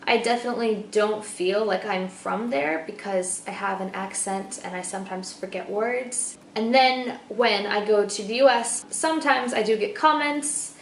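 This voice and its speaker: A young woman born in El Salvador and raised in Turkey, chatting casually to camera in a bubbly, talkative way, swinging between loud and soft. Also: medium pitch, normal speed, few pauses. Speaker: vlogger. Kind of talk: casual vlog chat to camera. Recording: echoey room with faint noise.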